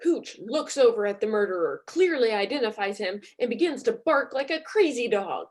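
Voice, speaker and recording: A woman's voice: on the high side, animated, normal speed, a little mellow. A woman comedian narrating a story with mock-dramatic commentary.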